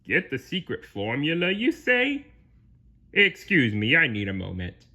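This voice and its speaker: A tiny scheming cartoon villain, acted by a man telling a story, sly and hungry for power. The delivery is theatrical and drawn out, with a husky, forceful edge and swooping pitch. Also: wildly swooping pitch, unhurried, exaggerated voice. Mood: scheming, gleeful.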